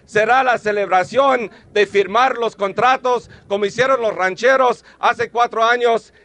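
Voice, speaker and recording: A man, delivering a rousing union rally speech and shouting slogans to a crowd. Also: extremely high pitch, a little slow.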